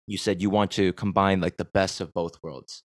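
A man's voice: sits low, steady tone, moderate pace, no room sound, clean studio sound. An American man hosting a podcast, talking reflectively and rambling a bit with restarts. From United States. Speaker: podcast host. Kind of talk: conversational podcast interview.

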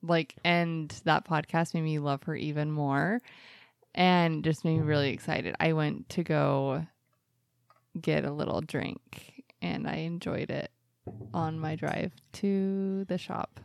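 A woman's voice: low voice, takes it slowly, gravelly, no room sound, studio quality. Bubbly young woman co-hosting. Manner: upbeat, bubbly, encouraging. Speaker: podcast co-host. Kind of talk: casual chat.

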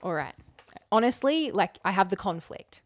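A woman's voice: mid-range voice, big pitch swings, normal speed. A woman co-hosting a podcast, chatting casually in a playful, mock-serious way as she talks things through. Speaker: podcast co-host. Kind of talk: casual comedic chat, working through a formula aloud.

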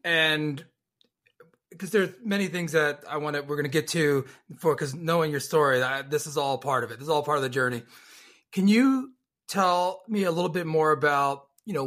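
A man's voice: a little high, fairly bright tone. A man hosting a podcast interview, asking friendly, rambling questions with fillers.

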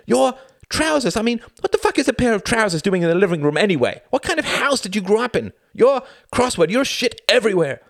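Man voicing a scornful wife, wildly swinging pitch. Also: very animated, measured pace, vocal fry, a little mellow, no room sound, clean studio sound, exaggerated voice. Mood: furious accusing. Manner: scornful, needling, accusing. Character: scornful, wounded young wife, combative and accusing. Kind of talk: acted audiobook dialogue.

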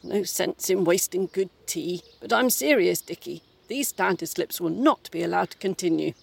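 An actress plays an imperious old British mother's ghost in an audio play. The voice is husky with a creaky edge, and the delivery is haughty, fussy and scolding, with prim, proper phrasing. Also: on the low side, expressive, normal speed, exaggerated voice. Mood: indignant, prim.

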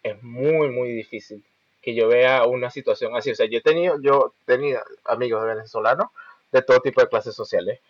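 A man co-hosting a podcast, chatting in a relaxed, agreeable way. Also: mid-range voice, a little fast, dry recording. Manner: relaxed, agreeable, laughs along. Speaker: podcast co-host. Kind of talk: casual chat. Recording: dull, dry mic sound.

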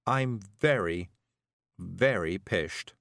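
A man voicing a frail, gentle woman witch who murmurs weakly and dreamily, in an audiobook. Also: mid-range voice, big pitch swings, measured pace, no room sound, clean studio sound, voice pushed up. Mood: weary. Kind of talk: audiobook character voice.

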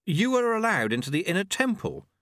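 A nervous young novice monk, as a male narrator puts him on, earnest and deferential. His pitch is raised and lively, and the tone is bright. He speaks hesitantly and with awe, as a storybook character. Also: fairly quick, no echo, clean studio sound. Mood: awed, flustered.

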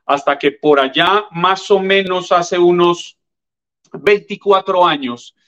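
A man's voice: sits high, bright, forceful tone, lo-fi sound. Articulate Colombian man in his fifties. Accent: Colombian, from Tolima. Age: 50s. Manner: articulate, measured, formal address. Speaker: guest journalist. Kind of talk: reflective interview answers.

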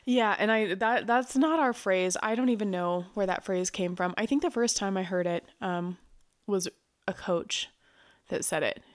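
A woman with a mid-pitched voice co-hosts a podcast, sounding nasal and stuffy from a cold. She explains her points in a steady, reflective way. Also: normal speed. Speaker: podcast co-host. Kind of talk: casual chat.

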